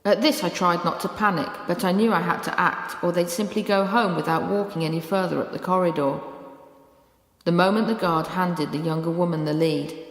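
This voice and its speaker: A woman's voice: fairly low pitch, steady tone, fairly quick, natural voice. A British woman voicing a loving, clever rescue dog that tells its own story in a bedtime storybook style. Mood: urgent, determined. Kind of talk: first-person storybook narration. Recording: echoey room with long reverb.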